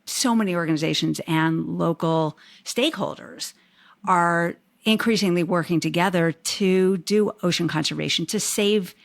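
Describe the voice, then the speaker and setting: Gravelly-voiced woman podcast host. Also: on the low side, moderate pace, no room sound. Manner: affirming, reflective, frequent "you know". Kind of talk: conversational interview.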